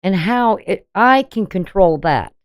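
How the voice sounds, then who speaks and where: A woman with a deep voice giving a casual daily astrology reading, talking bluntly and conversationally with frequent "you know". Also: animated, unhurried, no echo, clean studio sound. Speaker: astrology channel host.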